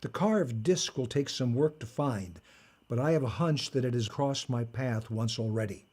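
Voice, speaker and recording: A man acting in an audio drama as an old magician and collector, formal, smug and lecturing, with a measured delivery. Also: mid-range voice, darkish tone, slightly rough, close to an everyday voice. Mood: calm, explanatory. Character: old male magician and artifact collector, commanding, smugly moralizing.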